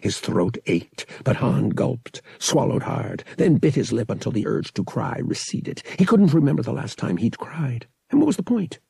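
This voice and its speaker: A man with a husky, raspy voice reading an audiobook as dramatic scripted narration. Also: medium pitch, very animated, moderate pace, hardly any pauses, warm tone, no echo.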